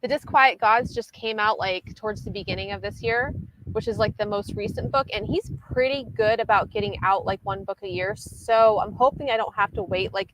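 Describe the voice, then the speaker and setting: A woman's voice: mid-range voice, a little fast, noisy background. A woman chatting casually about books as a livestream co-host, in a hesitant, rambling way sprinkled with "like". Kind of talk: casual book chat.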